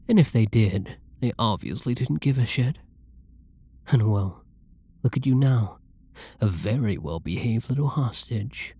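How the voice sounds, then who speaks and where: A man performs as a masked male villain in an intimate ASMR audio drama. His voice is dark and breathy, often close to a whisper, and he speaks very slowly. He taunts and orders his hostage around with flirty, condescending menace. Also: mid-range voice. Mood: teasing, smug, then sinister, condescending.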